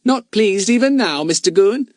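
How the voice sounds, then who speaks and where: A man's voice: fairly high pitch, very animated, normal speed, dry recording, studio quality, voice pushed up. A man voicing a cheeky, mischievous schoolboy who teases in a falsely sweet, polite way. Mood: mock-sweet. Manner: mock-polite and sweetly teasing.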